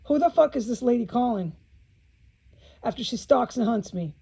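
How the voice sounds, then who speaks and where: A woman's voice: fairly high pitch, lively intonation, moderate pace, vocal fry, dark tone, breathy edge. A woman speaking in an informal video monologue, blunt and agitated, swearing often and repeating phrases for emphasis, with an aggrieved manner.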